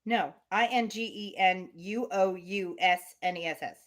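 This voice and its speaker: A woman's voice: mid-range voice, a little slow. A woman reading a classic novel aloud on a livestream, slipping into chatty asides to her listeners.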